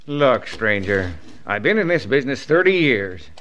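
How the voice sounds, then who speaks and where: An actor playing a tough, stubborn small-town sheriff in a radio western, with gruff, folksy frontier speech. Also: male voice, medium pitch, very animated, normal speed, fairly bright tone. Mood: stern, dismissive. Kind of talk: old-time radio western acting. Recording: dull vintage radio broadcast.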